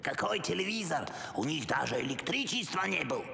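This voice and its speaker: Accented, gravelly, eccentric penguin inventor. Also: male voice, fairly high pitch, big pitch swings, brisk, pushed and bright, full character voice. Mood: excited explaining. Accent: foreign accent in Russian. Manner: broken grammar, emphatic explaining. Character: kindly eccentric penguin inventor, museum night watchman. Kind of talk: animated film character acting. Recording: echoey hall with music behind.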